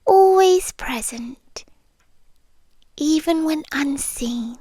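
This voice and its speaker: Hushed, high-voiced British immortal yandere girlfriend played by a woman. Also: animated, exaggerated voice. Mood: wistful, adoring. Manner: hushed, breathy, deliberate, often dropping into whispers. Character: ancient immortal tailed woman, possessive, obsessively devoted lover. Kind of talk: ASMR roleplay, intimate monologue. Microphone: close microphone, dry.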